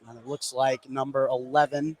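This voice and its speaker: A man doing live radio play-by-play commentary of a basketball game, excitable on big plays. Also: medium pitch, moderate pace. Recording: clearly noisy live sports broadcast.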